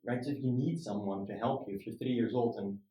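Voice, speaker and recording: Rambling founder, roomy sound. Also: male voice, mid-range voice, quick pace, mellow. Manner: rambling, frequent "right?" tag questions. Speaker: startup founder guest. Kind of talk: casual interview talk. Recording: roomy echo.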